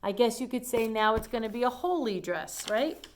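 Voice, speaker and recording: A woman's voice: fairly high pitch, expressive, normal speed, slight background noise. A woman reading a storybook aloud to children, explaining it in simple asides as she goes. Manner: simple explanatory phrasing, addressing young listeners.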